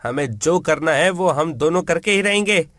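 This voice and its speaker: A man's voice: fairly high pitch, animated, moderate pace, a bit bright, no echo, studio quality. A man voicing a spoiled, greedy grown son, brash and dismissive, in a storybook tale. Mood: contemptuous.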